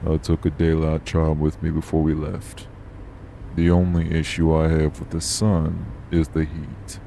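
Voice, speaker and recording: A man playing a steady, guarded, streetwise vampire traveler in an audio roleplay, matter-of-fact with folksy clipped word endings. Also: very deep voice, a little slow, vocal fry, a little hiss or hum, heavily acted. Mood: calm, wary.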